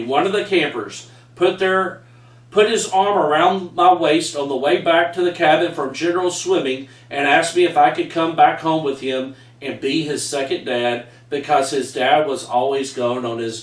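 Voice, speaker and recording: A middle-aged Texan man reads his own story aloud with a folksy, homespun delivery. His voice sits a bit high for a man. He stands back from the camera, so the sound comes from afar, with a roomy echo. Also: normal speed, distant mic. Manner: folksy storyteller, says y'all. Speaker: author reading his book aloud. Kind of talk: reading a personal story aloud. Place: home room with framed pictures, indoors. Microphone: far camera. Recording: echoey room, voice from afar.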